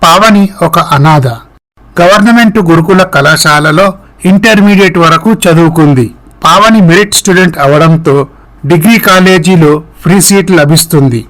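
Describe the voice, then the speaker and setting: A man's voice: a little high, moderate pace, a bit bright, poor audio. A man narrating a scripted story as a storyteller.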